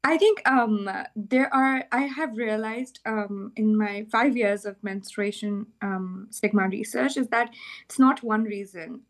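A young woman from Pakistan, a graduate student researcher, answering interview questions thoughtfully with pauses and restarts. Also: mid-range voice. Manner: thoughtful, hesitant, many fillers and restarts.

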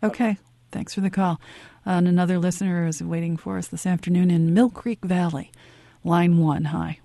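A woman hosting an afternoon radio call-in show, with a lowish pitch and a mellow, warm tone. She speaks in an easygoing way and keeps her replies brief. The studio sound is close and dry. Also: steady tone, normal speed, no room sound.

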